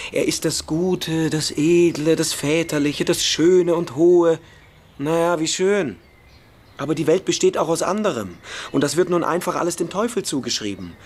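A man's voice: on the high side, natural voice. Provocative young freethinker, played by a man, over noisy ambience. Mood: ironic, insistent. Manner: emphatic, scornful, persuasive. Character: precocious, provocative schoolboy freethinker lecturing his younger friend. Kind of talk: German radio play dialogue.

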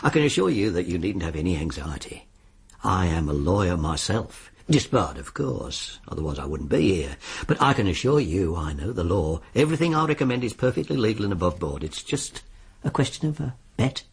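A suave, sly British crooked lawyer, husky. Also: male voice, sits low, very animated, a little fast, exaggerated voice. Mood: suave, reassuring. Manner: smooth-talking, insinuating, politely formal. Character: suave, sly disbarred lawyer arranging sinister bets on deaths. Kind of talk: radio drama acting.

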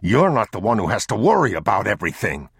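A man's voice: lowish voice, sing-song, fast, creaky, husky, no room sound, clean studio sound, pitched above the performer's own voice, exaggerated voice. A man voicing a grim, weary, protective father in an audiobook, speaking in a stern, terse manner. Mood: exasperated, afraid.

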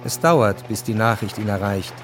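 An actor as the sober, measured narrator of a historical radio drama, reading scripted literary prose. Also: male voice, on the low side, soft background music, lightly acted.

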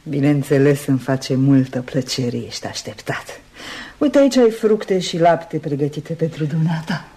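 A woman's voice: low-pitched, mellow, slightly rough. An actress playing a wry, knowing woman host in a Romanian radio play. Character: wry, sardonic woman host serving a guest. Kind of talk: Romanian radio theatre acting.

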